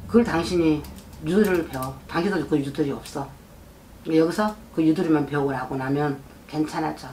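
A middle-aged woman speaks as a shaman fortune teller across a small indoor shrine office, in a lowish voice that is picked up from across the room with a faint hum of room noise behind it. She gives blunt advice and scolds in a motherly way, and she keeps checking that her client has understood. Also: normal speed, darkish tone. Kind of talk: fortune-telling consultation.

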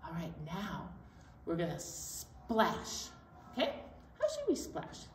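A middle-aged woman presenting storytime to young children, animated and encouraging as she talks and leads action songs. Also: lowish voice, slow pace. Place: indoor library storytime set. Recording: roomy, distant mic, faint noise.

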